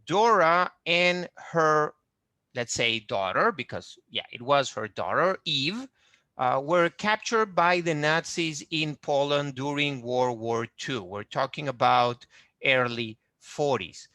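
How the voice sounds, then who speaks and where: A man's voice: on the high side, lively intonation, slow, bright, forceful tone, no echo. A man from Venezuela with a Venezuelan Spanish accent, giving an online genealogy lecture with many hesitations and fillers. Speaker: genealogist presenting a webinar. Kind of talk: online lecture with shared screen.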